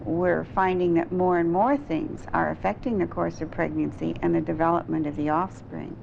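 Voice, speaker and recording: A woman researcher explaining findings in an interview, with measured, halting and precise scientific phrasing. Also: fairly low pitch, fairly even intonation, creaky, a little mellow. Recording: dull, slightly noisy old film interview.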